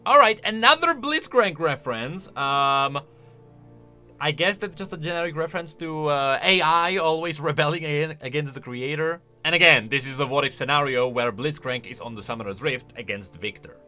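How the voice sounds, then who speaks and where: A man's voice: on the high side, normal speed, bright, forceful tone, soft background music. A man hosting casual reaction and explanation commentary, talking in an emphatic, conversational way. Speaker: video host.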